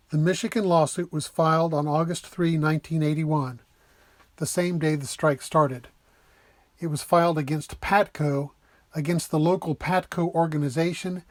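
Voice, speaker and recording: Male lecturer, slightly high voice, close dry sound, methodical plain-spoken explainer read. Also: darkish tone, no echo. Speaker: lecturer explaining a legal case.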